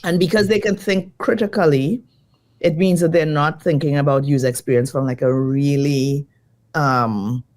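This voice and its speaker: A woman design educator, slightly croaky from jet lag, answering interview questions in a lively, thoughtful way. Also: deep voice, moderate pace. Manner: lively, thoughtful, many fillers.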